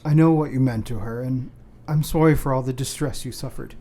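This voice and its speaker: A man acting a gentle, sincere, bereaved young Victorian gentleman in a radio play, speaking in polite, formal period phrasing. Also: mid-range voice, brisk, close to an everyday voice. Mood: tender, hesitant.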